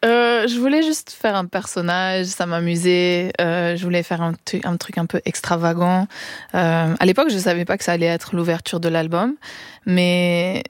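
Young female singer guest, low-ish voice, halting and informal, dry close studio mic. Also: steady tone, smooth, no echo. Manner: hesitant, many restarts, mixes English words into French. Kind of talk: casual radio interview. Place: radio studio. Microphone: studio microphone.

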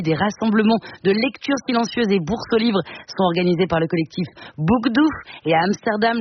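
A woman delivering a chatty, wry radio culture column with quick asides, on a dull radio broadcast with hiss. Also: mid-range voice.

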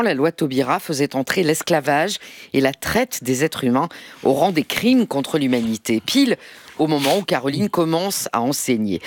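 A man in a radio studio reading a press review in a lively, emphatic way. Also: on the high side, no echo. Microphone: studio microphone.

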